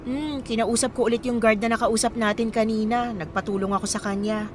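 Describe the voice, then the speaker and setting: A woman with a Filipino accent playing a kind, curious young traveler in casual, expressive radio drama dialogue. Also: on the high side, brisk, a little hiss or hum, natural voice. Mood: curious, friendly.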